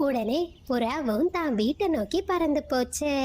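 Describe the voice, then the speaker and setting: A woman narrating a children's cartoon story in a steady, clear storybook manner. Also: high voice, normal speed, slight background noise.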